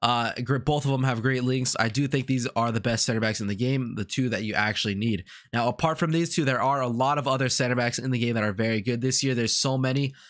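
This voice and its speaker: A man's voice: medium pitch, fast talker, few pauses, a bit bright. A man with a congested, sick-sounding voice, rambling casually through video game commentary with lots of fillers and repetition. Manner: rambling, repeats himself, many fillers. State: congested from a cold. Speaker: gaming YouTuber.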